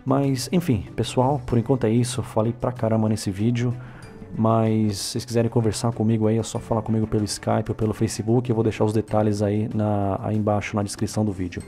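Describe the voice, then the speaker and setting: A Brazilian man in his thirties talks casually about his own experiences, with a low voice and a soft, mellow tone. He drifts along easily, dropping filler tags and correcting himself as he goes, and faint music plays underneath. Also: fairly quick. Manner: relaxed, with frequent filler tags and self-corrections. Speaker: vlogger. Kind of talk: casual personal narration to camera.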